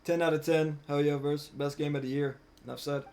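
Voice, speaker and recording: A man streaming a video game, giving casual, expressive live commentary with some swearing. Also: fairly high pitch, fairly quick. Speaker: gaming streamer.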